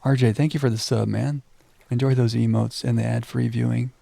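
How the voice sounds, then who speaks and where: A man streaming a video game in a relaxed voice with a creaky edge. He talks casually to his viewers and reads text aloud, close and dry on the mic, poking fun at himself as he goes. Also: mid-range voice, a little fast, no room sound. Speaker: livestream game host.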